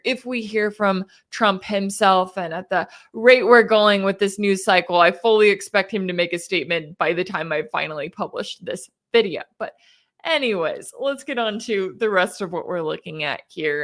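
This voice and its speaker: A woman's voice: mid-range voice, a bit bright. A woman hosting a news commentary show, speaking in a conversational, emphatic and skeptical way. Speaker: independent news commentator and host. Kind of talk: opinionated news commentary to camera.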